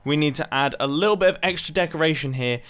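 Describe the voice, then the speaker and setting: A young man giving casual, excitable commentary over a video game, laughing often and joking about himself. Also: fairly high pitch, fast. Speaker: gaming YouTuber.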